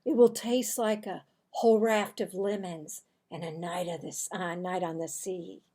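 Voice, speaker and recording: A woman voicing a grand, brisk father from a children's storybook, giving emphatic orders. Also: on the low side, fairly even intonation, dark tone, lightly acted. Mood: grand, proud.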